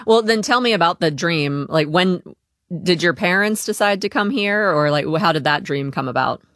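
Lowish-voiced female radio host, dry sound. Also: lively intonation, normal speed, fairly bright tone, dry recording. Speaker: talk show host. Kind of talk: radio interview hosting.

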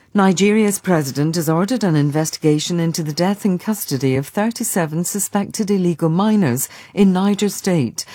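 A woman reads a radio news bulletin in a formal, measured style. Her voice is very low and mellow. The studio sound is dry and close. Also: no echo. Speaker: newsreader. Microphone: studio mic.

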